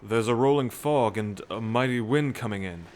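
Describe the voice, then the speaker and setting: A man in an audio drama playing an alert ship's lookout, calling out sightings in a drawn-out way. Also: medium pitch, darkish tone, close to an everyday voice. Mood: tense, alert. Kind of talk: cinematic audio roleplay acting.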